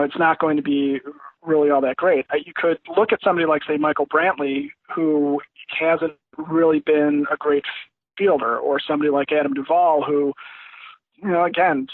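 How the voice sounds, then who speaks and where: A man's voice: fairly high pitch, no echo. A man as a guest giving casual, rambling sports analysis over a remote call. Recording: thin, dry phone-like line.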